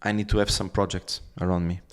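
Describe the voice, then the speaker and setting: A middle-aged Portuguese man speaking English as a second language, halting and repeating words while he searches for them. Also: low voice, little pitch movement, smooth. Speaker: real estate expert guest. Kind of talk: casual conversation.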